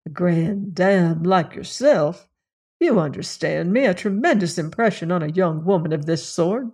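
A woman voicing a flattering, placating man who places servants, confiding and ingratiating, in a story reading. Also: lowish voice, a little slow, husky, full character voice. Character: smooth-talking, flattering, placating male servants' agent.

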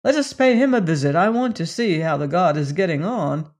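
A brisk, practical adventurer, voiced by a man reading a storybook aloud, gives orders in a high, quick voice. He sounds commanding and sure of himself. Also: expressive.

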